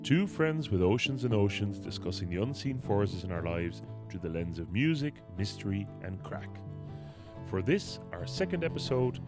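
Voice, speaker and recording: A man's voice: on the low side, normal speed, over music. A man co-hosting a podcast, chatting casually and telling stories with lots of laughter, recorded in a wooden cabin. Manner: animated, laughing storyteller. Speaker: podcast co-host. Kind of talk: casual chat. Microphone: close studio mic.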